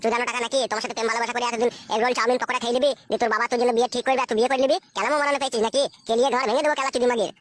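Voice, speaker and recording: An actor voicing a crude, pushy, foul-mouthed young man in a comic cartoon, with a pitched-up voice. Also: level tone, racing, few pauses, dry recording. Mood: angry demanding. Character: crude, pushy, money-minded young male lover.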